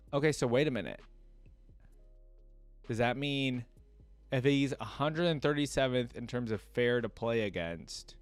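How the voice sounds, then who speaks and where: A man's voice: medium pitch, dry recording. A man making a reaction video, chatty and thinking aloud as he reads text out and comments casually.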